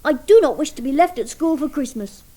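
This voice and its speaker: A precocious English boy in a radio drama. His voice is high and swoops up and down as he pronounces with pompous, defiant earnestness. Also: expressive, a little fast, mellow. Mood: insistent, reproachful. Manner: declaiming, mock-formal, insistent. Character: precocious, theatrical young schoolboy son, earnest and defiant. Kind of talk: radio play acting.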